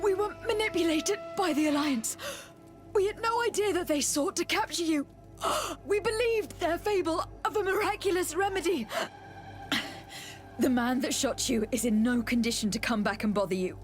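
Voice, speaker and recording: Actress as diplomatic young woman envoy, earnest, formal, measured, video game dialogue, prominent music behind. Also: a little high, close to an everyday voice. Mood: earnest, apologetic. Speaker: game protagonist.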